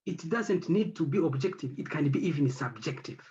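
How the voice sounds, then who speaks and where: A man whose first language is French speaks on an academic panel. His voice sits a little high and moves a lot in pitch. He pauses and searches for words, and the recording sounds dull and lo-fi. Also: animated, dark tone. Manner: halting, searching for words with many fillers. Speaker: head of an institute.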